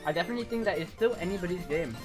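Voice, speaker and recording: This man is a live esports caster who gets excitable at the big moments. His voice is wearing hoarse from the shouting. Game music plays clearly underneath him. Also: high voice, rapid-fire. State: going hoarse. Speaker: esports commentator.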